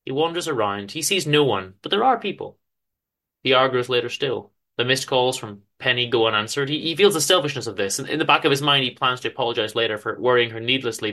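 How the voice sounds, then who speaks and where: A man giving a theatrical, emphatic dramatic reading of a story, with deliberate pauses. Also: medium pitch, sharp tone. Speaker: host reading his show's story.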